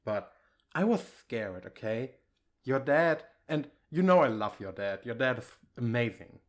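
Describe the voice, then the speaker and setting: A man playing an affectionate, reassuring boyfriend in an intimate ASMR comfort roleplay, speaking in a gentle, coaxing, conversational way. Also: medium pitch, sing-song, unhurried. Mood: reassuring, candid.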